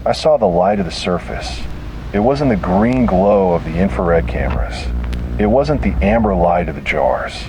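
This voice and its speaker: A man reading a scripted horror narration in a grave, halting, dramatic way with heavy pauses. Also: low voice, animated, background noise.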